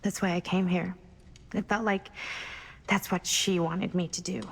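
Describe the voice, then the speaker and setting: A young American woman who is grieving and searching, speaking slowly in a husky voice with creaky edges. Her delivery is halting and natural, like intimate cinematic game dialogue. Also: on the low side, level tone. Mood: grieving, vulnerable. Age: young adult. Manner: hesitant, halting speech. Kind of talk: video game cutscene acting.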